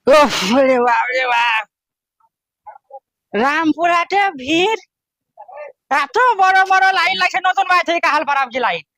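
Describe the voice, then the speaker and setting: A man's voice: very high voice, animated, measured pace, long pauses, sharp tone, no room sound, exaggerated voice. A man playing a cranky, flustered very old village man in a comic skit, with a rural Birbhum Bengali accent and a grumbling, complaining manner. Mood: exhausted moaning. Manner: grumbling, cursing, breathless complaining.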